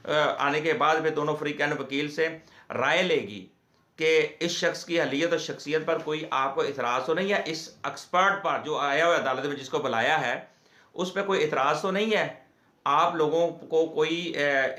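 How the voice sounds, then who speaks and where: Male legal explainer, Pakistani accent, slightly high forceful voice, halting and repetitive delivery. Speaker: legal explainer, host of a law channel. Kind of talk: informal legal lecture, explaining to viewers. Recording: echoey, reverberant room sound.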